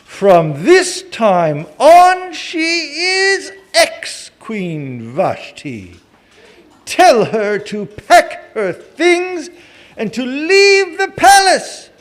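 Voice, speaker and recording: A man's voice: extremely high pitch, sing-song, exaggerated voice. A man playing a pompous, theatrical king in a live children's puppet show. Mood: indignant, imperious. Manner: theatrical, slow, emphatic delivery.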